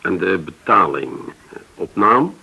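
An actor in a radio drama playing a reserved, businesslike client who speaks politely and a little guardedly. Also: male voice, deep voice, big pitch swings, a little slow, creaky, breathy edge, dull audio, exaggerated voice. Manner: polite, guarded, slightly hesitant. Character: reserved, businesslike male client negotiating discreetly for partners.

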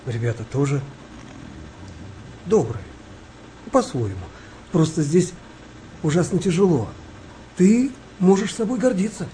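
An actor playing a kind, weary wartime sailor nearing fifty in a radio play, speaking gently and reflectively with a wry touch. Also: male voice, sits high, expressive, measured pace, pauses often, a little hiss or hum, poor audio. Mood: gentle. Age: middle-aged. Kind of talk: naturalistic radio play dialogue.

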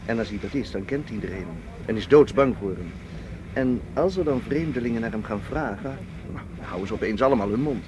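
A man's voice: fairly low pitch, lively intonation, fairly quick, noisy background, lightly acted. A man acting in a Dutch radio play as a seasoned, cautious and protective spy who explains things firmly. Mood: explaining. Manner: explanatory, firm.

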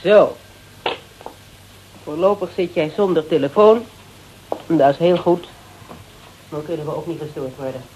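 A woman's voice: deep voice, measured pace, pauses often, mellow, lo-fi sound, a little hiss or hum. An actress playing a secretive, tough, self-assured female snoop with a wry, probing manner in a radio drama. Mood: menacing, calm. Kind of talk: Dutch radio drama acting.

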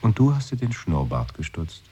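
A man's voice: low voice, big pitch swings, moderate pace, dark tone, a little hiss or hum. An actor in a radio play, playing a brooding man who muses aloud and often sinks into a whisper. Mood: wistful. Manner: musing, often drops to a whisper. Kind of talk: 1980s radio play acting.

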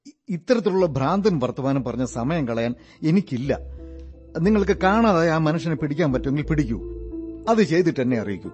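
A man's voice: on the high side, very animated, brisk, darkish tone. An actor playing an evasive, self-important stepfather in a radio drama, formal and defensive, outwardly polite but easily rattled. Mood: irritated, blustering. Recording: dull lo-fi radio recording, music behind.

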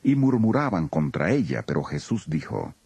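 A man's voice: rumbling low, sing-song, moderate pace, creaky, warm tone, breathy edge, dry recording. A man narrating a dramatized scripture recording as a solemn storyteller.

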